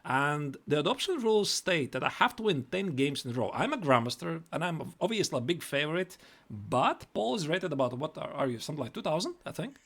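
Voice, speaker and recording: A man's voice: a little high, sing-song, fast talker, vocal fry, fairly bright tone. A man with a Latvian accent chatting casually on a live chess stream, repeating and restarting his words.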